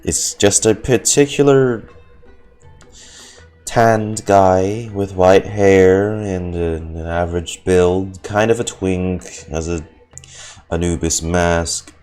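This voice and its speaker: A man doing casual live commentary on a game, halting, deadpan and often swearing. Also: sits low. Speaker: streamer reacting to a game. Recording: game music behind. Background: video game music and dialogue.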